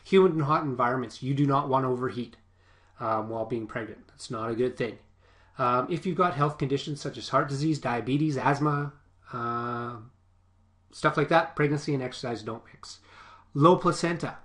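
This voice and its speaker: A man who works as a personal trainer gives casual fitness advice with a stuffy, sniffly voice, often checking in with a quick "okay?". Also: mid-range voice, a bit bright. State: stuffy, sniffly from a nasal infection.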